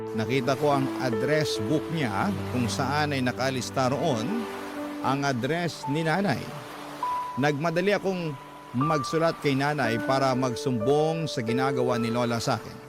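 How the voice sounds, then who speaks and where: A man's voice: medium pitch, close to an everyday voice. An actor playing a man who recounts a painful, abused boyhood in emotive first-person radio drama narration. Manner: aggrieved, emotive storytelling. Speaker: letter-writer narrator. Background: loud music.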